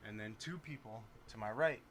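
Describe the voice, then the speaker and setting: A man's voice: on the low side, moderate pace, a bit bright, a little hiss or hum. A man hosting a podcast, chatting casually and joking, often repeating himself. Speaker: podcast host. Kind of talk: casual chat.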